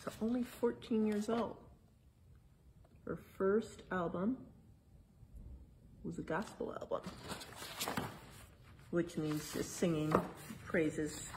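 A middle-aged woman reading a picture book aloud to children in a museum hall, spelling out each page title and then explaining it gently. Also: on the low side, steady tone, slow, long pauses, noisy background. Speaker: museum storytime reader. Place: indoor museum exhibit hall.

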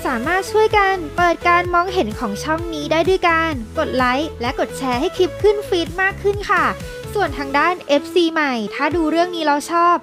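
Woman signing off a cartoon channel. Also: very high voice. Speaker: channel host. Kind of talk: video outro.

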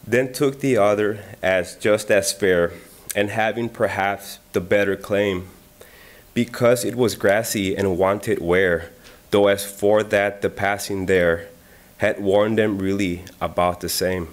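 Earnest low-voiced man at a lectern. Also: fairly even intonation, slow. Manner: earnest, with frequent "um" fillers. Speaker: community member reading a poem. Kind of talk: personal reflection and poetry reading at a library event. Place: library meeting room. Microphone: lectern microphone.

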